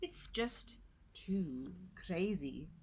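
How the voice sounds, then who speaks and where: A woman's voice: fairly low pitch, animated, very slow, slight background noise. A woman voicing a cranky old goat in a storybook, muttering and grumbling complaints.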